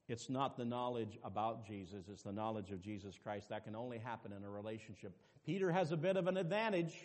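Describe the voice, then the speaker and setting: A man preaching a sermon as pastor, dropping to quiet asides and rising to loud, emphatic calls to the congregation. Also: on the high side. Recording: long reverb with faint music behind.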